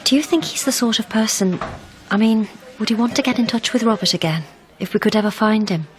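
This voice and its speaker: An actress playing a caring but sharp-tongued young fiancée in a naturalistic radio drama, pointed and persuasive. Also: female voice, on the high side, fairly quick, lightly acted. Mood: earnest, probing.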